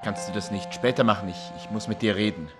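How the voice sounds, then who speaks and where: An actor playing a weary husband in a radio drama, with a husky voice. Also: male voice, lowish voice, fast talker, music in the background, close to an everyday voice. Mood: pleading. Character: weary husband, low and pleading.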